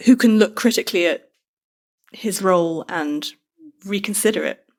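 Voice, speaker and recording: A woman's voice: mid-range voice, moderate pace. A woman with a British accent speaks as a guest author in an interview. She is conversational and thoughtful, with a self-deprecating way of talking. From Britain. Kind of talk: conversational interview about a book.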